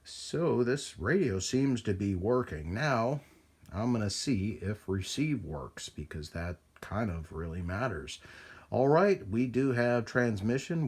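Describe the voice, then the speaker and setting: A man giving an informal, hands-on demonstration commentary at a repair bench, thinking aloud as he works. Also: lowish voice. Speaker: radio repair hobbyist, video presenter. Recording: clear background noise.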